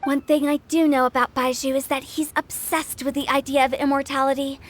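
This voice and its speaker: A woman's voice: sits high, little pitch movement, a little fast, lightly acted. An actress voicing a shrewd, mischievous young woman who runs a funeral parlor, telling things in a conspiratorial tone. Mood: scheming. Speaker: funeral director.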